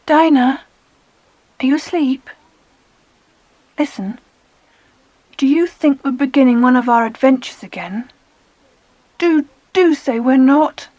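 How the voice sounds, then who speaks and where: An earnest little girl in a children's audiobook drama. Her voice is very high and breathless, in a dry recording. She is timid and excitable, with worry running through her words. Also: slow, long pauses, no room sound, rough recording. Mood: apprehensive. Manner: breathless, anxious.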